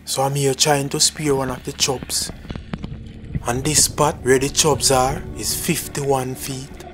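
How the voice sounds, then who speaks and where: A man, calmly narrating his own spearfishing footage in a plain, matter-of-fact voice-over. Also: mid-range voice, slow pace. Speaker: spearfishing vlogger. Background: loud new-age music.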